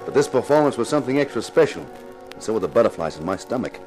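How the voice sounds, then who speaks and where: An actor playing an intense television detective in a 1950s radio crime drama, narrating and questioning in a deliberate, insistent way. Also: male voice, medium pitch, big pitch swings, darkish tone, soft background music, natural voice, rough recording. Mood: tense, confiding.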